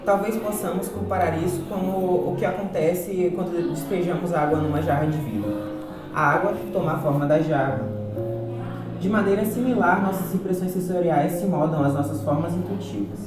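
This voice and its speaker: A man's voice: high voice, normal speed, lightly acted. A Brazilian man playing a patient male philosophy teacher who explains things didactically in an amateur radio drama. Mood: patient, explanatory. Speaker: actor in a student radio drama. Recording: very echoey, distant, loud music behind.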